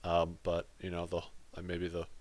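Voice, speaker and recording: A man hosting a gaming channel, talking through his let's play footage in a casual, rambling monotone. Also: low-pitched, moderate pace. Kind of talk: let's play commentary voice-over.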